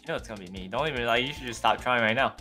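A man's voice: medium pitch, very fast, sharp tone, faint music behind. A young man chatting casually while gaming, in short phrases he often repeats. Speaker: co-player.

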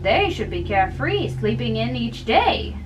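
A woman's voice: fairly high pitch, very animated, unhurried, lo-fi sound. A woman voicing a concerned older priest in a play, emphatic, probing and fatherly. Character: concerned, scolding older priest advising a young man. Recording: distant camera mic with faint room noise.

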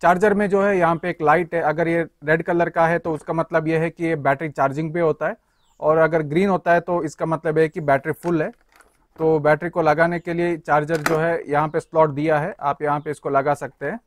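A young man explains a power tool part by part, talking to viewers as friends. His voice sits a little high and stays even in pitch. The sound is close and dry, with no room echo. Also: brisk. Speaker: tool reviewer. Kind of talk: hands-on product walkthrough.

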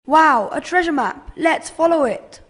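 A woman's voice: sits high, lively intonation, unhurried. A woman playing a cheerful young boy on a treasure hunt in a children's cartoon story. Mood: eager.

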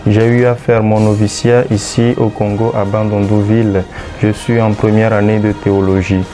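A young seminarian from Togo introducing himself in a flat, even way. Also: male voice, fairly low pitch, moderate pace, soft background music.

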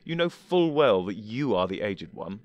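A calm, dispassionate older man, as a male reader plays him, answering in cool, measured tones with a dry, teasing edge. His voice sits low, and the recording is close and dry. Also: no echo.

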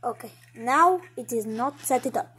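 A woman's voice: fairly high pitch, lively intonation, moderate pace, very noisy, pitched below the performer's own voice, close to an everyday voice. A woman voicing a sneaky little mouse who wants cheese, whiny and dramatic, in improvised pretend play. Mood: sneaky, careful.

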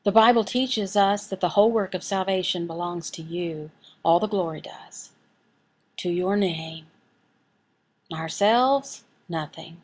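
A woman voicing a signed sermon as an interpreter, speaking deliberately, phrase by phrase with pauses. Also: lowish voice, darkish tone. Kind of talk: sermon and prayer, voiced from sign language.

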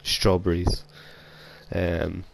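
A man's voice: low-pitched, little pitch movement, extremely slow, creaky, a little hiss or hum, poor audio. A young Scottish man with a cold, rambling casually and self-deprecatingly while playing a video game. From Scotland. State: has a cold. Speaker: gaming YouTuber. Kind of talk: casual gameplay commentary. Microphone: console headset mic.

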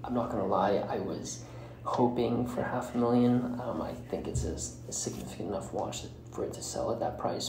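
A man with a very low voice delivers solo podcast commentary. His speech is hesitant and broken into short pieces, with plenty of vocal fry. The recording is roomy and echoing, with clear noise behind him. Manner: halting, hesitant, often breaking phrases mid-way. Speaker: podcast host.

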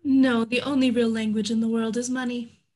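A woman reading the part of a brisk, self-made frontier businesswoman in a staged play reading. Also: on the high side, flat delivery, darkish tone, natural voice. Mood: assured. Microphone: video call.

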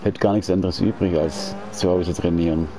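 A very deep-voiced man in his late forties talks loosely and at length, using slang and restarting his sentences. He is streaming from home, and loud race-car engine sounds from the game run under his voice. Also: moderate pace. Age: 40s. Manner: relaxed, rambling, talkative with slang and restarts. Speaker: sim racing streamer. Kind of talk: casual chat while gaming. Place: indoors at home. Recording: racing game engine noise behind.

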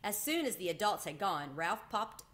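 A woman's voice: medium pitch, big pitch swings, normal speed, a bit bright. A woman, reading a children's book aloud. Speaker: storybook reader. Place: home room with bookshelves, indoors. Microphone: webcam at desk distance.

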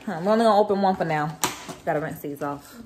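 A woman's voice: lowish voice, fast talker, noisy background. Chatty woman vlogging from her kitchen. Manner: chatty, relaxed, teasing, drawls with laughs. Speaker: vlogger and mother. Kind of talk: casual home vlog chat. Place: home kitchen. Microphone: phone camera held at arm's length.

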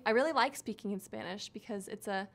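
A woman's voice: mid-range voice, a little fast, a bit bright. A young woman student talking casually and enthusiastically. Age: young adult.

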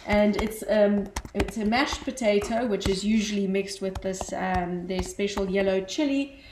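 A woman's voice: medium pitch, a little slow. A woman in her forties, a guest cookbook author, chatting animatedly from a home kitchen over a webcam call, with lots of fillers. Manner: animated storytelling with frequent fillers and laughs. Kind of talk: casual chat on a live stream. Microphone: webcam on a video call. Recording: echoey kitchen with faint noise.

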